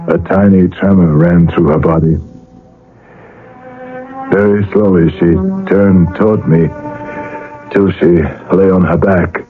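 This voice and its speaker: An actor playing an anguished middle-aged father in a radio drama, speaking and narrating with slow, intense deliberation. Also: deep voice, fairly even intonation. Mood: grave, suspenseful. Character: anguished father Max, brooding, guilt-ridden, driven to violence. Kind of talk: radio drama acting and first-person narration. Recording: muffled phone-like old radio, faint music.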